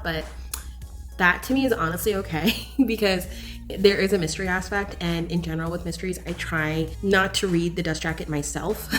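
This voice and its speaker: Woman doing a chatty book review, voice a bit low, gushy and blunt, faint music behind her. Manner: gushing, candid, emphatic.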